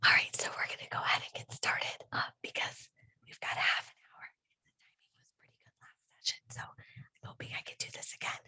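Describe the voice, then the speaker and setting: A woman's voice: brisk, pushed and bright, no room sound. A woman who has lost her voice, whispering breathily close to a microphone as a teacher presenting a conference session, chatty and self-deprecating. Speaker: staff development teacher presenting.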